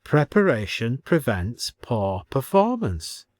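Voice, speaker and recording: A man's voice: medium pitch, sing-song, takes it slowly, darkish tone, no room sound, clean studio sound. Man voicing a sensible, organised young-sounding village woman. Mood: brisk, practical. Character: earnest, organised, practical village woman, cautious problem solver.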